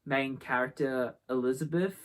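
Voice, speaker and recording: A man's voice: medium pitch, normal speed. A man giving a casual interview as an amateur audio drama cast member.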